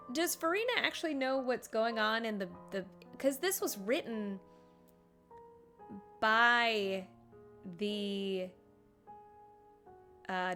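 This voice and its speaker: A woman's voice: medium pitch, a bit bright. A woman live-streaming a game, commenting casually with wry, sarcastic asides. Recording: game music behind the voice. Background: video game music.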